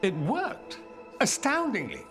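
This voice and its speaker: An actor playing a suave, ambitious mage and rebel schemer in a fantasy video game, speaking with wry, theatrical eloquence. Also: male voice, high-pitched, wildly swooping pitch, slow pace, over music, exaggerated voice. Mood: wry, persuasive. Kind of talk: dramatic video game dialogue acting.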